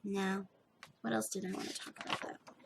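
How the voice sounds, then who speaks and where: A young woman with a slightly gravelly edge, chatting casually and emphatically as a podcast host, often restarting her sentences. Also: mid-range voice, moderate pace, a little hiss or hum. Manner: animated, emphatic, self-interrupting. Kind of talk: casual rambling chat to viewers.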